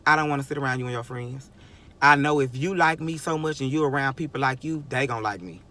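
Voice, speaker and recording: A man's voice: medium pitch, quick pace, bright, forceful tone. A man in his twenties giving a rambling, emphatic motivational monologue, often chuckling and restarting sentences. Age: 20s. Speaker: vlogger.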